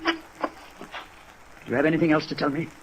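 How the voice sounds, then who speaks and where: An actor playing a stubborn, defensive boyfriend under questioning in a 1950s radio drama, indignant and guarded. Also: male voice, on the high side, fairly even intonation, unhurried. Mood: guarded, sullen. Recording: old radio recording with rain noise.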